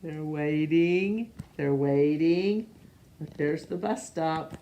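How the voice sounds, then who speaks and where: A woman reading a picture book aloud to young children as a storyteller, expressively and with pauses for questions. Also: low-pitched, warm tone.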